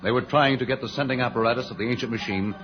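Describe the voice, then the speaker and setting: A man's voice: mid-range voice, rapid-fire. A man narrating an old-time radio serial as its announcer, in a suspenseful, theatrical style. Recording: dull old radio, loud organ music.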